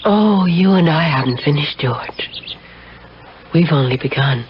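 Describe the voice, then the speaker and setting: A woman's voice: deep voice, lively intonation, a little slow. An actress playing a confident, teasing and mysterious married woman in a 1970s radio drama. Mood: sultry, teasing. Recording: muffled, noisy old radio broadcast.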